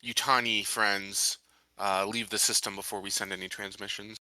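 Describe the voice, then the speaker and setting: A man's voice: fairly low pitch, little pitch movement, moderate pace, bright, forceful tone, no echo, low-quality recording. A man talking casually as a player in a tabletop roleplaying game.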